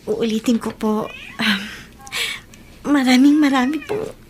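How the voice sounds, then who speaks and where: Slow, earnest young woman, acted by an actress. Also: sits high, a little hiss or hum. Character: young woman, grateful, soon to marry. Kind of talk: radio drama acting.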